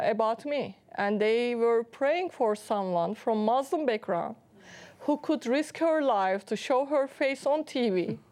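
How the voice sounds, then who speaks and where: A woman's voice: fairly high pitch, a little mellow. Turkish-accented woman sharing her testimony. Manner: earnest, laughs often while telling her story. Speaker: guest. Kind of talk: personal testimony in a talk show interview.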